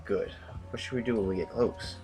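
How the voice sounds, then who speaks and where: A man playing a sober, war-weary revolutionary general in an audio drama, with a husky voice and a measured, blunt manner. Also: lowish voice, music in the background, close to an everyday voice. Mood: tense planning.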